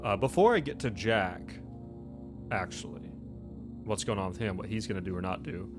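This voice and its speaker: A man's voice: on the low side, lively intonation, normal speed. A man acting as game master, casually narrating scenes and prompting his players with questions. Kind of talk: casual tabletop roleplay narration. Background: instrumental music bed.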